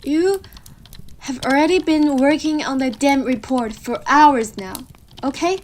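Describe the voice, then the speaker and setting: A woman's voice: high-pitched, a little slow. A woman voicing a needy, bossy, playful wolf girlfriend in an intimate roleplay audio, pouty and teasing as she speaks straight to the listener. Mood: exasperated, pouty. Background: computer keyboard typing.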